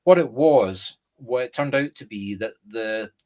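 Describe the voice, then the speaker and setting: A Scottish man, a medium and co-host, chatting casually and explaining through lively examples. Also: medium pitch, measured pace. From Scotland. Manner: animated, explains through examples and acted-out quotes. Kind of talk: casual conversation.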